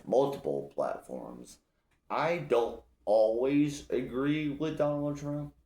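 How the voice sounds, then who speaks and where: A man's voice: sits low, a little mellow, roomy sound. A young man from Illinois hosting a solo podcast, ranting bluntly with lots of profanity. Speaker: podcast host. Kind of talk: casual solo podcast rant.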